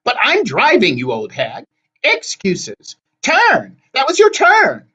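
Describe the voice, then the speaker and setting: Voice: medium pitch, wildly swooping pitch, takes it slowly, sharp tone, slightly rough, dull audio, pitched above the performer's own voice, full character voice. A narrator voicing quarrelsome ancient gray hag sisters who screech, wail and bicker in a storybook reading. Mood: squabbling, indignant. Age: ancient, thousands of years old.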